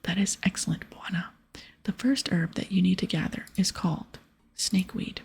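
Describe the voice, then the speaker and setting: Woman whispering as a breathy, solemn village shaman. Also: lowish voice, normal speed, exaggerated voice. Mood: solemn, welcoming. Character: solemn, courteous male village shaman in a fantasy game. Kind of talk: whispered ASMR reading of game dialogue.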